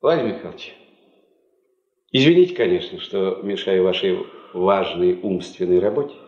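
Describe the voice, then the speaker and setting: A man's voice: low-pitched, moderate pace, slightly rough. An actor playing a chatty, wry working man, once a sailor and prospector, with folksy mock-grandiose joking in a 1970s Soviet radio play. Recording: dull 1970s radio drama tape.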